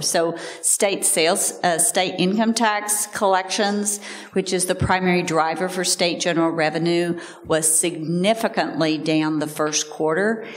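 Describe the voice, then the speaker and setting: A woman answering staff questions at an open forum, measured and hesitant with many fillers, in a meeting room. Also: deep voice.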